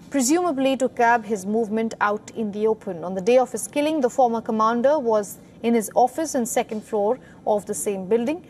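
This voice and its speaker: A woman's voice: a little high, darkish tone, slight background noise. A woman reporter reading a scripted news report in a narrator's delivery.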